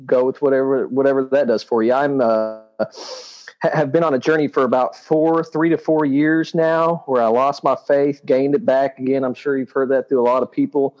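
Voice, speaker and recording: A man's voice: mid-range voice, fairly even intonation, normal speed, darkish tone, dull audio. A man from Texas, a podcast guest, talking casually and hesitantly with many ums. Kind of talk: casual chat.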